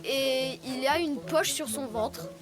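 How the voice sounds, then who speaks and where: A woman voicing an excitable, naive young animal cub with emphatic childlike speech in a dubbed children's cartoon over music. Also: high voice, takes it slowly. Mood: puzzled, naive. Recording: music under the voice.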